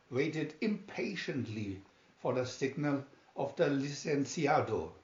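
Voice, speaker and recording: A man reading a storybook as dramatic narration, deliberate and theatrical, in a dull, roomy recording. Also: medium pitch, darkish tone.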